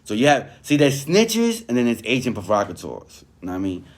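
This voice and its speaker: A man's voice: medium pitch, wildly swooping pitch, fairly quick. A man giving informal, street-slang commentary. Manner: street-slang, conversational, peppered with "you know what I mean". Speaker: online commentator reviewing a written statement. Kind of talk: informal commentary mixed with reading aloud.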